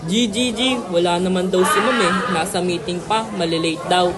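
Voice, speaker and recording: Woman acting a casual, defensive high school student. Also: moderate pace, very noisy, close to an everyday voice. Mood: joking. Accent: Filipino. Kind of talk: amateur school radio drama acting. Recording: crowd chatter behind the voice.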